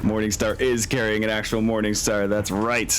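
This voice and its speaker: A man's voice: lowish voice, flat delivery, moderate pace, slight background noise. A man narrating a tabletop game as game master, descriptive and conversational. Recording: music playing faintly behind the voice. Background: faint music.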